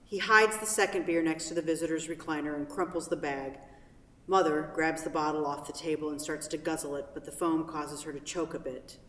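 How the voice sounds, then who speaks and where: A woman's voice: low voice, fairly even intonation, brisk. A woman narrating and hosting a staged reading of a play. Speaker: stage-directions narrator and event host. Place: theatre hall. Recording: very echoey hall, distant mic.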